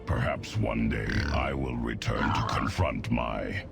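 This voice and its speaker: A man playing a stoic, weary half-orc wanderer in a fantasy game cutscene, solemn and weighty in delivery, with a gravelly voice. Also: a bass voice, steady tone, slow, loud music behind the voice, exaggerated voice. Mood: wistful.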